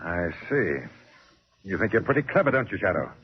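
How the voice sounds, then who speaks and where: A man's voice: sits low, sing-song, normal speed. A man playing a brash, sardonic newspaperman with a swaggering manner, in 1940s radio drama style. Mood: taunting. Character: cocky, brash male newspaperman, defiant and taunting. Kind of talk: 1940s radio mystery acting. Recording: muffled vintage 1940s radio recording.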